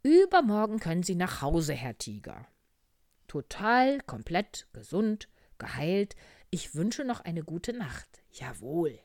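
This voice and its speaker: A woman voicing a brisk, self-assured, formal male frog doctor with emphatic, clipped pronouncements in a children's storybook. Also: on the low side, sing-song, darkish tone, dry recording, voice pushed up. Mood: triumphant.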